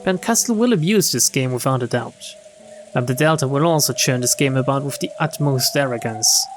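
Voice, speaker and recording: Male streamer, mid-pitched voice, dramatic narration of game text, strong music underneath. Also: animated, moderate pace. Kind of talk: dramatic read-aloud of visual novel text.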